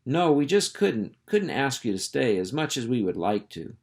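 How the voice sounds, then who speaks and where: Here is a genteel, long-suffering mother dog from a children's storybook, acted out by a man. She speaks in a raised, slightly gravelly voice with lively swoops. Her manner is fussy, sighing and excessively polite. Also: mid-range voice, moderate pace, pitched above the performer's own voice. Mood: anxiously polite.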